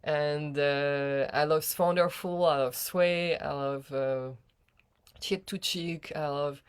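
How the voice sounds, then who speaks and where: A young woman from France with a French accent, chatting casually as a guest and laughing often mid-sentence. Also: fairly low pitch. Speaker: guest singer. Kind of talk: casual chat.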